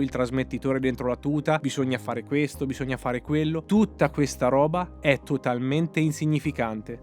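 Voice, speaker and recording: A man delivering scripted motorsport opinion commentary in an emphatic, sarcastic manner with rhetorical questions. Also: a little high. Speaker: motorsport commentator, channel host.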